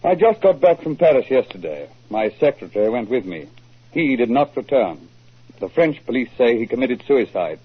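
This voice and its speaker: An actor playing an earnest, crafty mystery writer with a formal, measured way of telling things, in 1940s radio drama style. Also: male voice, mid-range voice, sing-song, breathy edge. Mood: earnest, grave. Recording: muffled tinny 1940s radio recording.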